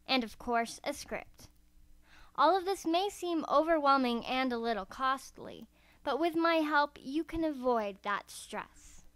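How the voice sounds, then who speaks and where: Girl voice, kinda high, reading out a step by step tutorial, dry sound with no echo. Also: a bit bright. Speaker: voice acting tutorial presenter. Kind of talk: scripted instructional narration. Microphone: USB karaoke microphone.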